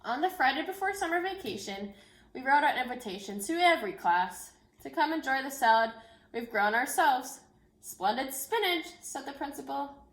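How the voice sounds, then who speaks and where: Woman, elementary teacher type, higher register, story read-aloud with informal asides to children, roomy echoing sound. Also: expressive, moderate pace. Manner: addresses children directly and informally. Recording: echoey room.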